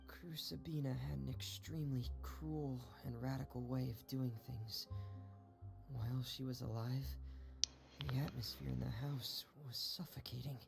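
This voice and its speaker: A shy, earnest young man from a video game, speaking in a soft, measured and solemn way. His voice carries a gravelly, fry-tinged texture at a mid pitch. Game music plays behind him. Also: fairly even intonation. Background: game soundtrack music.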